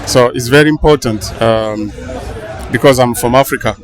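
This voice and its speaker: A man from Angola, answering interview questions in a halting way, often restarting as he searches for words. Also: medium pitch. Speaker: interviewee, funeral visitor. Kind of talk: casual street interview. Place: outdoors at a crowded palace funeral. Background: crowd murmur.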